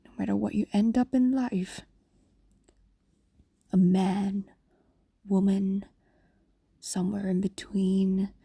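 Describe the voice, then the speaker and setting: A woman voicing an ancient, lovestruck female fox demon in an intimate audio roleplay, whispering softly and coaxingly with lingering pauses. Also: medium pitch, little pitch movement, no room sound, full character voice. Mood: devoted, tender. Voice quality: soft, breathy whisper. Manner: intimate, slow, pausing, coaxing. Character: possessive, lovestruck female fox demon, centuries old, tender. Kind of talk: ASMR-like fantasy audio roleplay.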